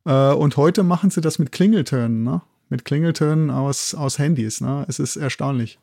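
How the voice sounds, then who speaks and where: A man's voice: fairly high pitch, normal speed, creaky, warm tone, no echo, clean studio sound. A man from Germany with a German accent, explaining things as an expert guest, with hesitant phrasing and frequent tag questions. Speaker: guest, university zoologist. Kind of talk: explanatory interview talk.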